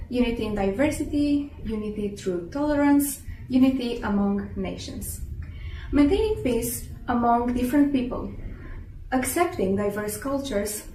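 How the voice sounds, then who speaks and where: A woman's voice: fairly high pitch, warm tone. A woman delivering a short prepared statement with an earnest, rhythmic manner. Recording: echoey room, distant mic, faint noise.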